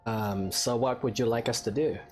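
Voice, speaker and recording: A man's voice: medium pitch, steady tone, moderate pace, soft background music. A man hosting a game playthrough, reading dialogue choices and reacting in an offhand, hesitant way. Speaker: gaming video host.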